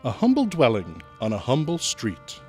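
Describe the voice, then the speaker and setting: An actor playing a jolly giant spirit, speaking in a grand, declamatory way in an old-time radio drama. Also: male voice, mid-range voice, huge pitch swings, moderate pace, darkish tone, soft background music. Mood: grand, inviting.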